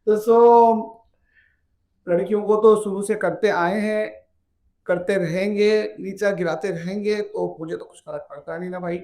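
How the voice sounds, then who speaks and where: A woman bodybuilder with a naturally deep, heavy voice, speaking bluntly and defiantly as an interview guest. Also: fairly even intonation, measured pace, warm tone. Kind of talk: candid interview talk.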